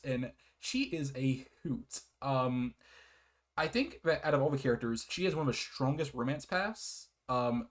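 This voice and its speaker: An American man talks through a game review in a loose, casual way. He sits at a middle pitch and tends to double back, correct himself and excuse his own uncertainty. He sounds keen on the parts he likes. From United States. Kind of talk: casual rambling review, partly reading game blurbs.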